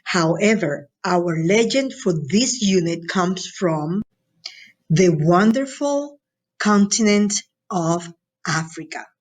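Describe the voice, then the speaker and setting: A woman's voice: lowish voice, animated, takes it slowly, darkish tone. A woman from Latin America teaching a recorded lesson to students in an encouraging, instructional way, often checking in with them.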